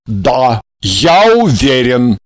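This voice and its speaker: An actor playing a young, earnest and exasperated lover in an audiobook drama, speaking emphatically. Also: male voice, medium pitch, big pitch swings. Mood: insistent, irritated. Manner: emphatic, drawn-out, pleading then threatening. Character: young earnest, honourable, exasperated male lover, soon a father.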